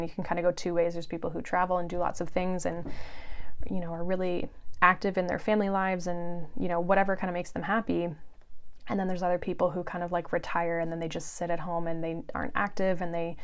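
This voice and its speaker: Chatty lower-voiced woman in her thirties. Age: 30s. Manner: chatty, frequent fillers. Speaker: podcast host. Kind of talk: casual monologue.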